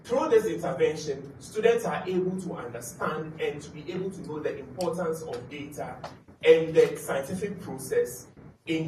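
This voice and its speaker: A man, a graduate student, presenting his thesis in an earnest, measured way at a competition. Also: on the high side, darkish tone, roomy sound, recorded from a distance, slight background noise, poor audio. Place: auditorium.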